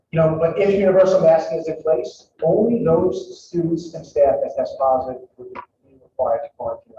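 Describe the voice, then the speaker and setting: A man's voice: fairly high pitch, warm tone, slight background noise. Man speaking in an echoey hall. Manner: conversational, many ums and restarts. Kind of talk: public presentation to families. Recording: echoey hall, far from mic, dull.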